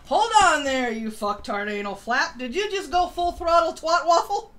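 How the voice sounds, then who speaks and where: A woman's voice: high voice, lively intonation, normal speed, fairly bright tone. A woman co-hosting a casual live stream, reading things aloud and chatting in an irreverent, sweary, jokey way. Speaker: co-host of a live stream show. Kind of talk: casual chat, reading articles and memes aloud.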